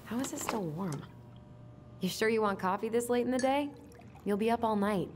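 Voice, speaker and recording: A woman's voice: fairly high pitch, moderate pace, noisy background, close to an everyday voice. An actress playing a wry, caring but anxious young woman in a naturalistic video game drama. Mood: casual, caring. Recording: music behind the voice.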